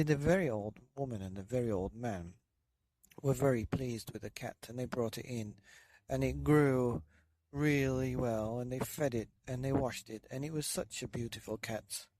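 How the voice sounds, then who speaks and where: A man narrating a bedtime story for a podcast, deliberate, with dramatic pauses. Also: mid-range voice, darkish tone, no room sound.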